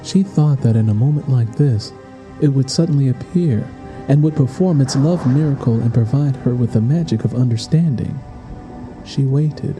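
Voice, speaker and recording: A man's voice: mid-range voice, low-quality recording. A man reading a scripted, dramatic story as its narrator. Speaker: audiobook narrator.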